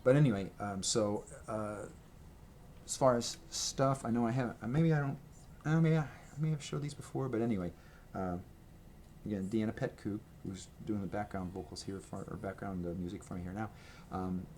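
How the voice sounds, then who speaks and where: A man's voice: on the low side, moderate pace, darkish tone, slight background noise. A man talking to his camera at home in a rambling, casual show-and-tell, full of fillers and restarts. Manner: chatty, meandering, many fillers and restarts. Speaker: vlogger showing his merch. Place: home room with gray walls and a red accent wall. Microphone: camera microphone, speaking to camera at a table.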